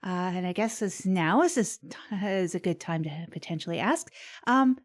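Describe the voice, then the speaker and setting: A woman's voice: on the low side, expressive, normal speed, natural voice. A woman playing an upbeat, earnest community radio host in an improvised comedy podcast, eager and reassuring. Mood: tentative, hopeful.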